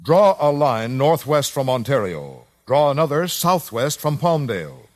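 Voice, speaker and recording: An American man narrates a 1950s radio documentary in a solemn, deliberate, scripted style with measured phrasing. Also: mid-range voice, sing-song. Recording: vintage 1950s radio broadcast, music underneath. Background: dramatic music.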